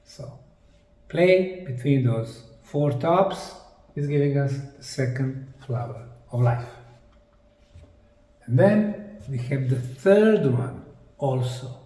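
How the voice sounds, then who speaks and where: A man with a Bosnian accent giving an explanatory presentation, speaking emphatically in short, dramatic phrases with pauses. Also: mid-range voice, animated, dark tone. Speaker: presenter, researcher. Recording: echoey room, distant microphone.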